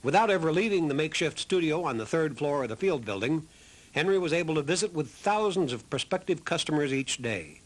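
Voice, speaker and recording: Higher-pitched man narrating a documentary. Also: expressive, brisk. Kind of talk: scripted narration.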